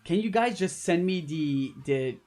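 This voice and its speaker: A man's voice: a little high, animated, measured pace, a little mellow. A man from Canada hosting a gaming livestream, chatting casually in a rambling, jokey way. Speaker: livestream host. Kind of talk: casual gaming stream chat. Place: at home in an apartment, live voice chat. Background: background music.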